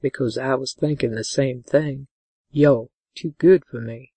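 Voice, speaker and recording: A woman voicing a gentle, tender male lover in a romance audiobook, speaking low and breathy. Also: a little slow, no echo, exaggerated voice. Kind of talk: audiobook character dialogue.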